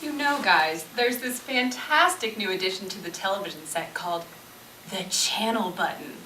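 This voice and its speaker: Woman acting a lively sarcastic young woman, echoey. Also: medium pitch, moderate pace. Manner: sarcastic, mock-explaining. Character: Natalie, sarcastic, teasing, quick-witted young woman. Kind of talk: amateur stage play acting. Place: indoor stage, recorded at a distance. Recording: echoey distant stage recording, faint noise.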